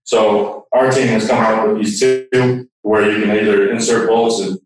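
A man's voice: fairly low pitch, fairly even intonation, a little slow, faint music behind. A man giving a conversational, hands-on technical demonstration, recorded in an echoey room. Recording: echoey room, distant microphone.

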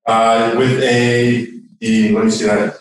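A man giving an informal technical explanation as a product expert, halting as he searches for words. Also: mid-range voice, level tone, background noise. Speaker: product expert guest demonstrating. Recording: echoey room, distant from mic.

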